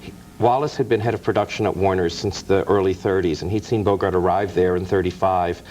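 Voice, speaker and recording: A man's voice: sits low, fairly even intonation, fairly quick, a little mellow, a little hiss or hum, rough recording. A man, a guest author, explaining film history in detail in an interview, with small restarts. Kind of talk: interview talk. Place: TV studio.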